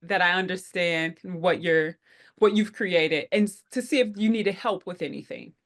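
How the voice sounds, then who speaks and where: A woman's voice: fairly low pitch, moderate pace. A woman hosting an online group call, welcoming people in an upbeat way with frequent fillers. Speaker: head of operations, meeting host.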